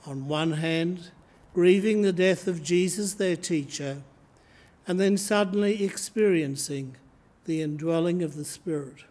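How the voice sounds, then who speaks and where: An older man in his seventies with an Australian accent, preaching a sermon from the lectern of a church and reading slowly and deliberately from his notes. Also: fairly high pitch, a little mellow. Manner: slow, measured reading from notes. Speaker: priest. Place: church sanctuary, indoors. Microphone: lectern microphone, camera in front.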